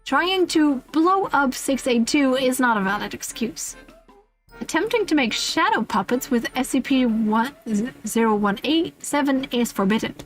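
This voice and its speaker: A woman's voice: high-pitched, lively intonation, unhurried, soft background music. A woman streamer reading a list aloud in a casual livestream style, amused, with playful asides.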